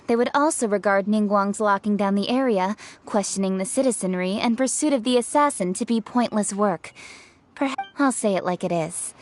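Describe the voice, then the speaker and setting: A woman's voice: medium pitch, a little hiss or hum, lightly acted. A woman playing a proud, forthright young female official in a video game, speaking formally and assertively. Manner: formal, assertive, crisp phrasing.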